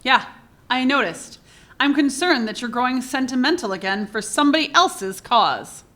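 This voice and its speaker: A woman voicing a skeptical business-minded smuggler in a radio play, with dry, sarcastic comebacks. Her bright voice rises and falls widely in pitch. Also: animated, normal speed. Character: dry, practical female smuggling partner, skeptical. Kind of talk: comic radio play acting.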